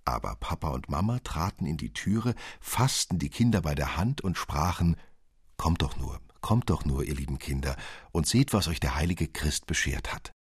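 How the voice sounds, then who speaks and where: A male narrator with a very low, husky voice reads a literary fairy tale. His storytelling is measured yet expressive, recorded close and dry with no room sound. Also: dry recording.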